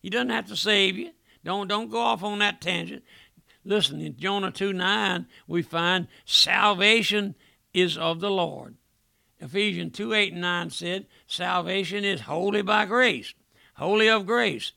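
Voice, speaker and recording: Fiery gravelly preacher man. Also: high voice, a little slow, no room sound, studio quality. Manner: impassioned, repeats "my friend" with a dropped, hushed voice. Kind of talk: fiery revival sermon.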